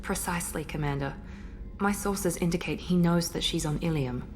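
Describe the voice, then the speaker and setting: An actress playing a proud, guarded female operative in a video game, crisp, formal and controlled. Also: on the low side, normal speed, close to an everyday voice. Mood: guarded, serious. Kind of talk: video game character acting. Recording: game audio with faint ship ambience.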